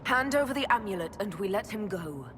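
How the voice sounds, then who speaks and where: A woman's voice: mid-range voice, animated, brisk, fairly bright tone, natural voice. A woman playing a resolute young elf mage heroine in a fantasy game, firm and composed. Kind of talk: dramatic fantasy game voice acting. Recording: echoing hall, noisy ambience.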